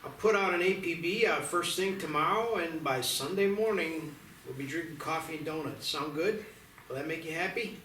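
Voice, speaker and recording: A man's voice: a little high, normal speed, sharp tone. A man at a screenplay table read playing a skeptical, practical small-town sheriff in a dry, conversational way. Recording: noisy, echoey room, distant mic.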